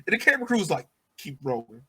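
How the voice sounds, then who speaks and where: A man's voice: fairly high pitch, sing-song, fast, sharp tone. A man co-hosting a soccer podcast in casual chat, speaking emphatically and repeating phrases for effect.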